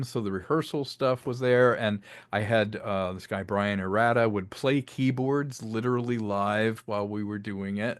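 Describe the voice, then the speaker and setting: A man's voice: medium pitch, no echo, studio quality. A man speaking as an interview guest, telling stories about his creative work in a casual, chatty way with rambling asides. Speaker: interview guest, filmmaker and audio drama creator.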